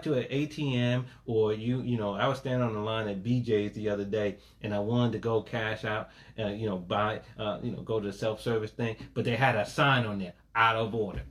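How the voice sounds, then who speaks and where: A man preaching a sermon, calling for amens and mixing scripture with folksy everyday stories. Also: lowish voice. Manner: preaching with call-and-response, folksy asides, repeated "amen". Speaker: pastor.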